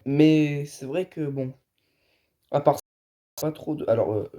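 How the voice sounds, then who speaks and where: A man's voice: fairly high pitch, measured pace, mellow. A man giving his opinions in a casual, colloquial car vlog, sounding tired and a bit ill with flu. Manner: colloquial, addresses viewers as buddies. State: under the weather with a flu-like illness, tired. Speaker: car video host.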